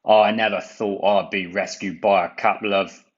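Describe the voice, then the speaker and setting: A man's voice: fairly low pitch, steady tone, moderate pace, highs cut off, lightly acted. A man voicing a grumpy, haughty crane in a children's storybook, brusque and grudging in manner. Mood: grudgingly contrite.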